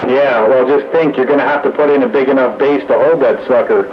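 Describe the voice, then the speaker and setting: A man's voice: mid-range voice, fairly even intonation, fairly quick. A man chatting casually over radio in a folksy, exclamatory way. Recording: dull, noisy radio link.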